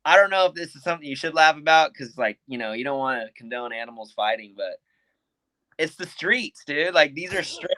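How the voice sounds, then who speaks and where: Man, podcast guest, keen, slightly raised voice; loose, slangy, animated storyteller. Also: on the high side, no room sound, poor audio. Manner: animated, swears freely, says "dude" and "like". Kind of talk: casual storytelling.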